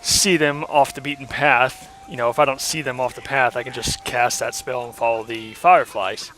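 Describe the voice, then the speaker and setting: Young male let's-play host, hoarse, mid-pitched, casual and rambling, faint music bed. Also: fairly even intonation, moderate pace, a bit bright, poor audio. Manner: chatty, rambling with frequent ums and uhs. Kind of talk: casual gameplay commentary.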